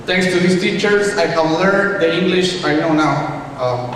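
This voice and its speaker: A man's voice: high voice, measured pace, fairly bright tone. A man, an adult English learner, giving an earnest speech in simple phrasing at an awards night. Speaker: ESL student giving a speech. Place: large hall, speaking at a podium. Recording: echoey hall, distant mic, background noise.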